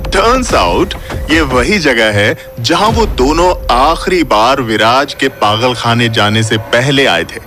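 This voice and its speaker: A man's voice: mid-range voice, animated, slow pace, sharp tone, faint music behind. A man playing a serious father who instructs his son about occult dangers in an audio horror drama. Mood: explanatory.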